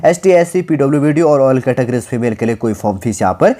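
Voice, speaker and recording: Mellow-voiced Indian man explaining a notice. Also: medium pitch, animated. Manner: halting, repetitive phrasing, mixing English terms into explanation. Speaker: exam-coaching presenter. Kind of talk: informal explainer, reading a job notice aloud and explaining it.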